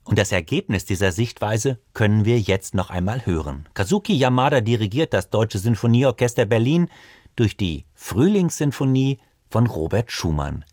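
A man's voice: on the low side, lively intonation, normal speed, a little mellow, dry recording. A man presenting a music programme on the radio, reading scripted narration.

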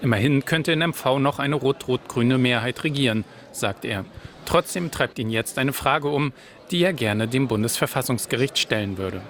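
A man's voice: mid-range voice, normal speed, fairly bright tone, a little hiss or hum. Man narrating a news report.

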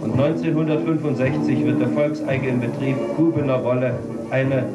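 A man's voice: medium pitch, fairly even intonation, normal speed, roomy sound. Male newsreel voice, solemn and declaiming, archive film sound, heard from a distance. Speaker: newsreel narrator. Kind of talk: old archive newsreel narration. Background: loud music.

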